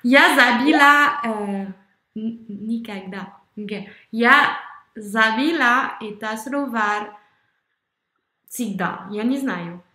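Young french woman, super slow, lots of ums, bright voice, echoey video call. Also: fairly high pitch. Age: young adult. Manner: hesitant, searching for words, many fillers and self-corrections. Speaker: language-learning video host. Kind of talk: halting conversation in a learned language. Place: small room indoors. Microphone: red headphones, webcam video call.

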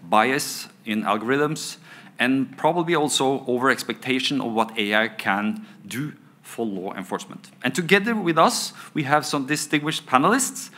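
A man's voice: medium pitch, normal speed, pushed and bright. A middle-aged man introducing a conference panel from notes, with frequent fillers and restarts. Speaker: panel moderator. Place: conference panel stage indoors. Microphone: table microphone on stand.

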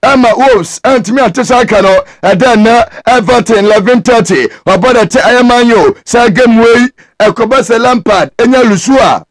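A man's voice: very high voice, measured pace, no room sound. A man rattling off football results and league tables, mixing English words into his speech. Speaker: sports news presenter. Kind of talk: fast football results rundown.

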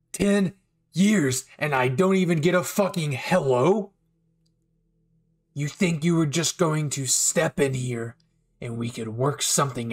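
Casting: man to play bitter, unhinged male anime villain. Slow, taunting, volatile, in roleplay audio. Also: mid-range voice, pitched below the performer's own voice. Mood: manic, incredulous. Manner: menacing, taunting, swinging from quiet to outbursts.

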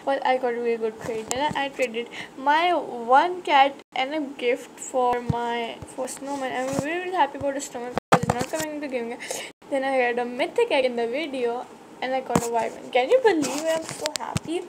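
A young woman with a high voice chats through her game with a sore throat, full of fillers and restarts. The recording is noisy, with a steady hiss behind her voice. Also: brisk. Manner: rambling with many fillers and restarts. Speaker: gaming channel host. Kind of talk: casual gameplay commentary. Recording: noisy screen-recorded mic audio.

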